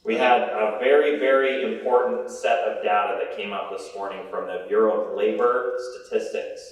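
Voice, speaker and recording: A man gives a presentation to a meeting room, loud and chatty, often restarting his sentences. He sits well back from the microphone. The room rings with heavy echo. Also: fairly low pitch, steady tone, moderate pace. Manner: loud, conversational, with frequent restarts. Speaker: presenter on investment strategy. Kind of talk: conference presentation. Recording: echoey room from far off.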